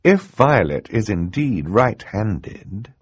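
A man's voice: on the high side, expressive, takes it slowly, no room sound, pitched above the performer's own voice. A man playing a tender, earnest woman judge who speaks carefully and with emotion, in an audiobook acting style. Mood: careful, then triumphant.

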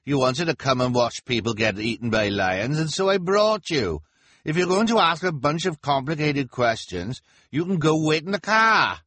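A man voicing an excitable, bossy male spectator who talks shrilly. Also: moderate pace, no room sound. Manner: shrill, overexcited.

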